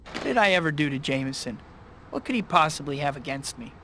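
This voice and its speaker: An actor playing an earnest, burdened young American superhero in a video game, with a dry, understated humour. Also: male voice, fairly high pitch, animated, fast talker, a bit bright, slight background noise.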